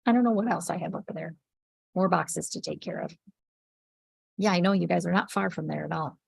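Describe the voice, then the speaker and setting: Woman, shop owner on a livestream, with a cold, sniffly and raspy, very gravelly, casual. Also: lowish voice, brisk, no room sound, clean studio sound. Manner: chatty, reads out viewer comments by name, many ums. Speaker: yarn shop owner hosting a weekly livestream. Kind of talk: casual chat with viewers.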